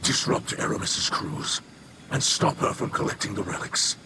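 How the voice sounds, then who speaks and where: An actor playing a grave, weary alien leader and protective father in a video game, speaking in formal, measured, weighty phrases. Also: heavy background noise, close to an everyday voice. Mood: solemn pleading. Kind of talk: video game cutscene voice acting.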